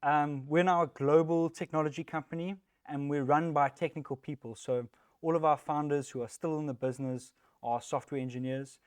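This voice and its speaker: A man's voice: on the high side, normal speed, smooth, no echo, clean studio sound. A man in his thirties with a South African accent, giving a casual, self-deprecating talk at a developer meetup, with plenty of ums. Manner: relaxed, chatty, self-deprecating, many ums. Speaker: conference speaker, technical lead. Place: meetup room.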